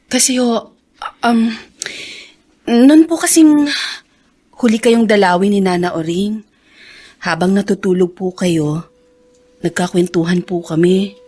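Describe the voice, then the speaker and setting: A woman acting a kind, soft-hearted daughter with a husky voice and a Filipino accent in a radio drama. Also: medium pitch.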